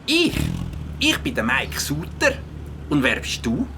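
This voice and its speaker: A woman playing an eager, helpful young boy with a slightly raspy voice and a Swiss German accent in a children's audio play. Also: low-pitched, wildly swooping pitch, unhurried, a little hiss or hum, exaggerated voice. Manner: bright, eager.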